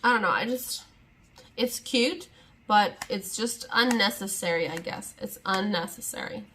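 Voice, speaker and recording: A woman's voice: medium pitch, a little slow, a bit bright, slight background noise. A young woman chatting casually to camera at home, rambling and exasperated as she talks through her makeup. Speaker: beauty vlogger. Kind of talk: casual sit-down chat to camera.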